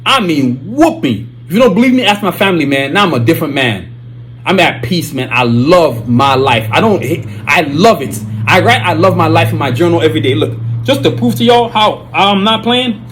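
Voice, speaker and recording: A man's voice: fairly high pitch, a little fast, a little hiss or hum. A man with a Nigerian accent hosting his own podcast, talking casually and reading sponsor plugs, with many repeated catchphrases. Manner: animated, repetitive, keeps saying "you know what I'm saying". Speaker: podcast host.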